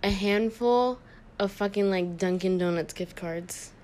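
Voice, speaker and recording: A young woman from Nashville in a casual podcast chat, excitable and tumbling over her words. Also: mid-range voice, a little slow, slight background noise.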